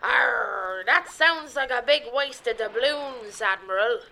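Woman putting on a swaggering pirate queen, stage pirate accent, bright voice, comedy radio play. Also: a little high, animated, slow, vocal fry, slight background noise, pitched below the performer's own voice, heavily acted. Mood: blustering. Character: swaggering female pirate queen, mock-archaic pirate talk.

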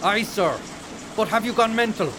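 Cantankerous Irish ship's chief, highish and very animated, comedy radio play. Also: male voice, normal speed, noisy background. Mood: indignant. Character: grumpy, incredulous male Irish ship's chief. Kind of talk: scripted comedy audio drama.

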